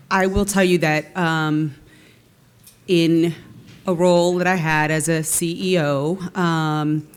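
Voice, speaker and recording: Woman panelist, ex-ceo, frank and emphatic, lowish voice, keeps saying right. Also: clear, resonant tone. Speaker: panelist, former nonprofit CEO. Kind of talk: panel discussion.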